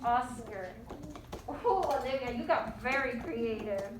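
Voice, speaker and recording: High-voiced woman teacher, echoey and distant. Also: animated, a little slow. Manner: encouraging, prompting with questions. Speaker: elementary school teacher. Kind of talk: interactive read-aloud lesson to children. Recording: echoey, noisy, distant mic.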